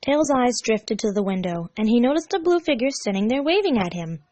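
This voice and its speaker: A woman reading a scripted story aloud as narrator, measured and expressive. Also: on the high side, no room sound. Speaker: fan fiction narrator.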